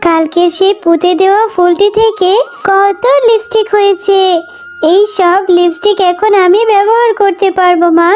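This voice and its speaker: A woman's voice: very high-pitched, fairly even intonation, normal speed, a little mellow, faint music behind. A woman voicing a sweet, naive little fox girl in a children's cartoon, plaintive and emphatic. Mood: delighted.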